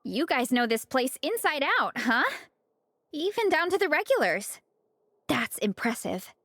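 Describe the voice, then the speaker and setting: A woman's voice: sits high, very animated, unhurried, a bit bright, dry recording, studio quality, exaggerated voice. An actress voicing an upbeat, sociable young woman in a video game, telling things in a breezy, friendly way. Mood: impressed. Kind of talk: English video game voice acting.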